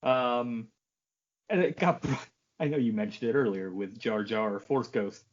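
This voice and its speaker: A man's voice: mid-range voice, unhurried, dull audio. A man co-hosting a podcast, chatting casually about films in a joking, profane way. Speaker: podcast co-host.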